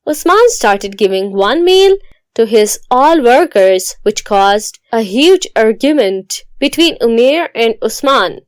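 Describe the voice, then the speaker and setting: A woman's voice: a little high, big pitch swings, slow pace, clean tone, dry recording. A woman reading scripted narration for a moral story as a storyteller.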